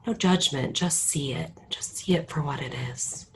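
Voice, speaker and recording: A woman leading a guided meditation in a calm, unhurried way, her voice drifting between a soft murmur and a whisper. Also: low voice, breathy edge.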